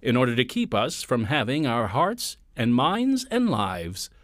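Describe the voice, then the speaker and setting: Animated male radio announcer. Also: mid-range voice, measured pace. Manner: polished, emphatic announcer delivery. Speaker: announcer and narrator. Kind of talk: scripted radio drama narration. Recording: music under the voice.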